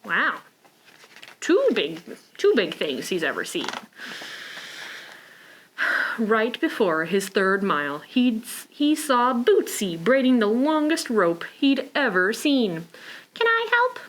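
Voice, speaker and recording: A woman's voice: mid-range voice, sing-song, takes it slowly, slight background noise, rough recording. A woman hosting a preschool storytime, reading aloud and talking simply and encouragingly to small children. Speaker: library preschool storytime host.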